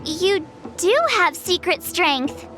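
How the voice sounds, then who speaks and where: A woman's voice: very high-pitched, slow pace. An actress playing a perky, inquisitive girl adventurer in an anime-style game, talking informally and expressively. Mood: astonished, curious. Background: background music.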